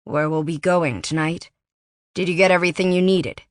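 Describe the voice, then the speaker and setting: A woman voicing a brisk, guarded young man who is secretly a dragon, speaking curtly. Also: on the low side, steady tone, dry recording, studio quality, lightly acted. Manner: curt, businesslike.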